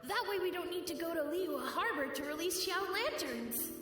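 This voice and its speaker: A woman's voice: very high voice, lively intonation, normal speed, reverberant, in a large hall, distant mic. A woman voicing a boastful little boy who wants to get rich, heard in a flashback. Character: brash little boy dreaming of riches. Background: game music.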